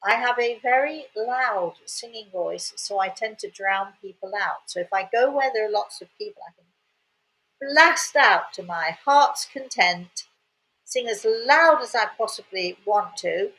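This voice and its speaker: A woman's voice: mid-range voice, expressive, unhurried, sharp tone, low-quality recording. An older Englishwoman hosts her show and tells a casual story. She speaks with a chatty, rambling manner. She often repeats words and drops in cheerful asides. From England. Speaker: host.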